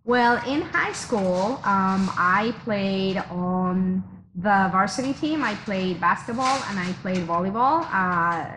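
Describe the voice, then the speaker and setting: A woman in her forties from Mexico, a teacher, talking through her background in a measured way with many fillers. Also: mid-range voice, fairly bright tone. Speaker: teacher, interview guest. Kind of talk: casual interview. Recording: noisy background hiss.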